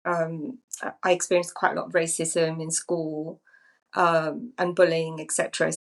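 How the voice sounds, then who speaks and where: A woman's voice: low-pitched, little pitch movement, a little slow, creaky. A woman in her fifties, from Zambia, telling her life story as a podcast guest in a thoughtful, musing way that often repeats phrases. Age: 50s. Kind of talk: reflective personal storytelling.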